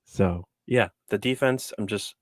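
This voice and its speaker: A man's voice: on the low side, measured pace, dry recording, clean studio sound. A man on a sports podcast who rambles and hesitates, with frequent restarts, as he gives his frustrated take on the game. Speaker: podcast co-host. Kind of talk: casual sports podcast talk.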